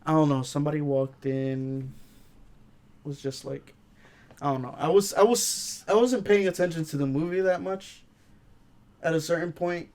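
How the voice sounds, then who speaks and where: Higher-voiced guy, creaky, casual talker. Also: steady tone, moderate pace, long pauses, a little mellow. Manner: informal, slangy. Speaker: podcast co-host reviewing films. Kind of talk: casual chat and storytelling.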